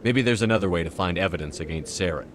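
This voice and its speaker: An actor playing a resolute human military commander in a video game, speaking in a firm, blunt, controlled way. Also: male voice, deep voice, fast talker, noisy background, lightly acted. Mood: businesslike. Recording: music behind the voice.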